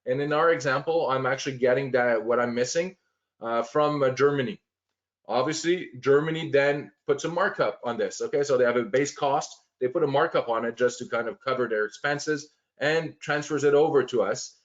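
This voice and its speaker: Man, software walkthrough, halting explanatory delivery, middle pitch, muffled recording. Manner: explanatory, many fillers and restarts. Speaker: software trainer. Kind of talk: screen-share software walkthrough.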